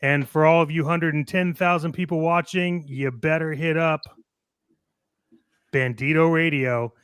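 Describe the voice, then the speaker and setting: A man's voice: fairly high pitch, fairly even intonation, a little slow, fairly bright tone, clear, resonant tone, no room sound, clean studio sound. A man hosting a casual livestream, talking to the audience and signing off. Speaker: show host. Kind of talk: casual livestream sign-off talk.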